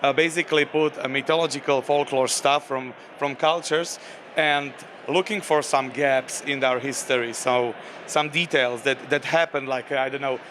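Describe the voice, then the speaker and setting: Slovak man with a flat, halting voice. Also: mid-range voice, slight background noise. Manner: halting, many fillers and restarts. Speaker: game designer. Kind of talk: convention interview.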